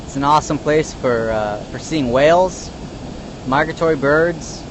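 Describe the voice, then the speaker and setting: A man's voice: on the high side, a little slow. A man from Northern California working as a park ranger, explaining casually and laid-back on a live stream from an outdoor viewing platform, with lots of you know. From North Bay of California. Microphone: phone live-stream. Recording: outdoor noise behind the voice.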